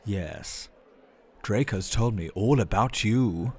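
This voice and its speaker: A man's voice: lowish voice, a little mellow, husky, full character voice. A man playing a silky, condescending aristocratic wizard villain from fantasy film, sneering and drawing out his words. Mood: sneering, menacing. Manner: drawn-out, sneering.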